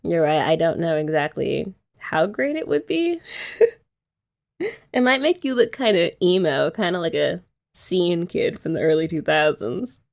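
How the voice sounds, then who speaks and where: A woman's voice: lowish voice, big pitch swings, a little slow, creaky. A young woman doing girlfriend roleplay ASMR, chatty and teasing with rambling asides. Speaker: ASMR creator.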